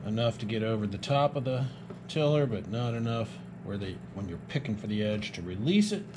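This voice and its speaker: A middle-aged man casually narrating boat maintenance in his garage and woodshop, relaxed and thinking aloud with a few pauses, picked up by a camera mic a little way off. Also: fairly low pitch, noisy background. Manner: relaxed, explains as he works, some hesitations. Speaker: boat builder and vlogger.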